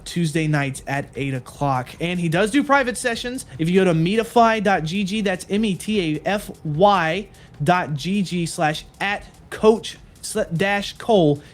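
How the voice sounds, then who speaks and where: A man casting a live esports stream, talking in earnest, repetitive hype with some fillers. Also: sits high. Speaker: esports caster.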